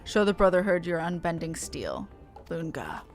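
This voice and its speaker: A woman's voice: on the low side, sing-song, soft background music, pitched below the performer's own voice. A woman voicing a stern, proud beastman war leader in a game, speaking solemnly and formally. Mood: grave, solemn. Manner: solemn, slow, formal delivery. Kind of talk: reading game dialogue in character.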